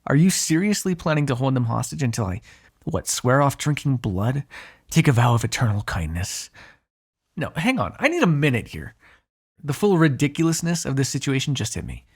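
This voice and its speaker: A cynical, menacing vampire played by a man in an intimate audio roleplay. His voice is gravelly and husky at a middling pitch. He talks in sardonic, drawling asides, with a grudging fondness under the threat. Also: big pitch swings, moderate pace. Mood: exasperated, dry. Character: ageless male vampire, cynical, menacing, grudgingly fond captive. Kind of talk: ASMR roleplay, one-sided dramatic dialogue.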